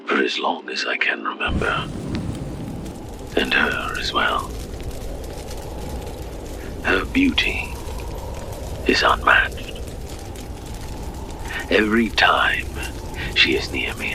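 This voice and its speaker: An actor playing a humble, lovestruck titan in a fantasy audio drama, speaking with hesitant, breathy earnestness. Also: male voice, full character voice. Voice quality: very deep, breathy, gravelly. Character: gentle, lovestruck male titan, humble and shy.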